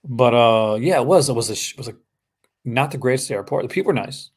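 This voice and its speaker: A man's voice: medium pitch, expressive, fast talker. A man with a Canadian accent chatting casually on a live stream, rambling with lots of fillers and restarts. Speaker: host. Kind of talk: casual chat. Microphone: streaming call.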